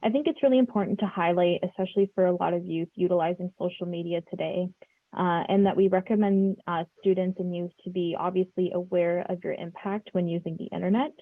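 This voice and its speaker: A young woman hosting a casual podcast chat, talking in a relaxed way with lots of fillers. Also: on the low side, fairly quick, dry recording, phone-quality sound. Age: young adult. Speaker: podcast host.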